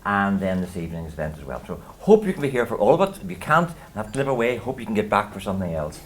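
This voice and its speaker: A man's voice: lowish voice, big pitch swings, fast talker. A man introducing a lunchtime event and welcoming the guests and speakers. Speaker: event host from the peace project. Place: outdoor garden event.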